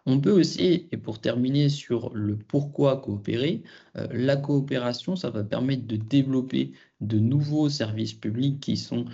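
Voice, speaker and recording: A man giving an explanatory lesson as a trainer, hesitant and often pausing mid-phrase. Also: mid-range voice, creaky, warm tone, husky, dull audio. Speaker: trainer on local government. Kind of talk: explanatory lesson, semi-prepared.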